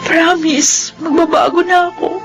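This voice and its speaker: A woman's voice: very high-pitched, steady tone, a little slow, over music. An actress with a Filipino accent playing a remorseful young woman, once snobbish, pleading emotionally in a radio drama. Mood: tearful pleading.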